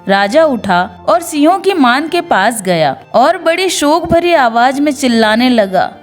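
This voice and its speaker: A woman's voice: sits high, expressive, measured pace. A woman narrating scripted Bible stories in an earnest devotional storytelling style. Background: background music.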